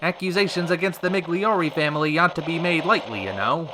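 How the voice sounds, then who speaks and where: A man playing a short-tempered, wisecracking talk show host in an old-time radio drama, fast-talking in theatrical period slang. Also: a little high, background noise, lightly acted. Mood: probing, wary.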